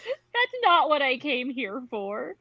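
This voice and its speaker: Woman acting as a young career woman, high pitch, bright tone, earnest, assertive, amateur story reading. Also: big pitch swings, takes it slowly, no room sound, dull audio, full character voice. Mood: firm, defiant. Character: earnest, determined young professional woman employee. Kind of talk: amateur dramatic reading of a novel.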